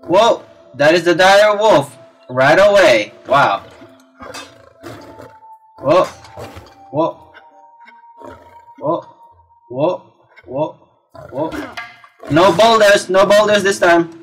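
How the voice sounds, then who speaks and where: A man's voice: high-pitched, expressive, drawn-out words, pauses often, a bit bright, poor audio. A man giving casual gameplay commentary, exclaiming and reacting as he talks to himself. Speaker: let's play gamer. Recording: game music behind the voice. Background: video game music.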